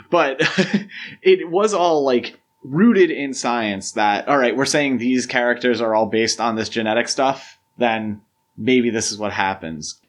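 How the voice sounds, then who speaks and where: A man hosting a podcast, talking casually about films in an explanatory, opinionated way with long, winding thoughts. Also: mid-range voice. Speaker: podcast host. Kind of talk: casual film discussion.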